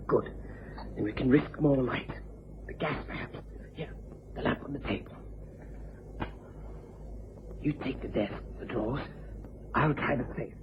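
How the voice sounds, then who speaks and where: An actor playing a furtive, cautious male intruder speaking in hushed, clipped phrases in a radio crime drama. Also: medium pitch, lively intonation, moderate pace, pauses often, lightly acted. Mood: stealthy, tense. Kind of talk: old-time radio drama acting. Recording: muffled, hissy old radio recording.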